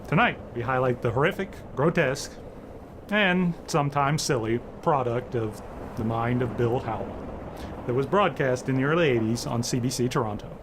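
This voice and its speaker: A male host reads a scripted introduction in a mid-pitched voice. There is clear background noise behind him as he presents a classic radio play. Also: normal speed. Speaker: show host.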